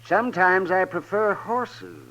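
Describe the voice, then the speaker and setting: High-pitched, wry frontier doctor. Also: male voice, unhurried, sharp tone, muffled recording. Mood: testy. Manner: droll, dry, theatrical. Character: wry, crusty frontier town doctor, gossipy and grumbling. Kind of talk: 1950s western radio drama acting.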